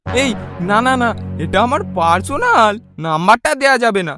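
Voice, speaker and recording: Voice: medium pitch, sing-song, moderate pace, music in the background, lightly acted. A performer voicing a gullible, lovestruck young man in a comedy cartoon, whiny and pleading, repeating words. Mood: pleading, defensive. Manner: pleading, repetitive.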